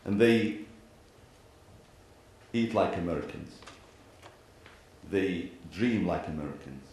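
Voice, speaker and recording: Man, Iraqi Arabic accent, very slow and measured, formal speech, heavily echoing hall, faint noise. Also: sits low, lively intonation, darkish tone. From Iraq and Kuwait. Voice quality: frequent throat clearing. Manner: deliberate, measured phrasing with pauses and repeated parallel sentences. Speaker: imam giving a speech. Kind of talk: formal public address. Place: large indoor venue, speaking to a gathering.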